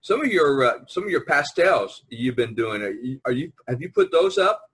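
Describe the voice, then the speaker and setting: A man hosting a podcast in a casual, rambling, easygoing group chat with a husky voice. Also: mid-range voice, sing-song, moderate pace, a bit bright. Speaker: podcast host. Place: online video conference.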